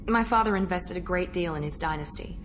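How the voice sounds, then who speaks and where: An actress playing a guarded, proud engineered operative in a video game, speaking in a measured, self-assured way over background music. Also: female voice, on the low side, a bit bright, close to an everyday voice. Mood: guarded, reflective. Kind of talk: video game dialogue acting.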